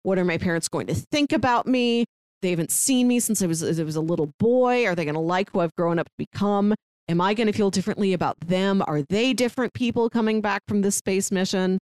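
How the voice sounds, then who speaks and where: A woman co-hosting a podcast, chatting casually as she describes and pitches shows. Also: brisk, darkish tone, clear, resonant tone, no echo. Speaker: podcast co-host.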